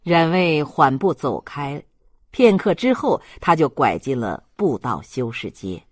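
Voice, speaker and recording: Husky, expressive audiobook narrator. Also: medium pitch, a little slow, no echo, studio quality. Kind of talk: scripted literary narration.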